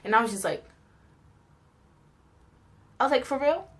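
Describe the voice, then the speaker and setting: A young woman tells personal stories in a casual, chatty vlog style, animated and giggly as she acts out little reactions. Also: high-pitched, unhurried, slight background noise. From New York, United States. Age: young adult. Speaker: vlogger telling personal stories.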